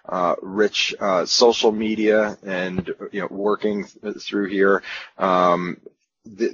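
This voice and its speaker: A man, a company executive, giving a halting sales presentation over a web meeting, with many uhs. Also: fairly low pitch, a bit bright. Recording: dull, slightly hissy web-meeting audio.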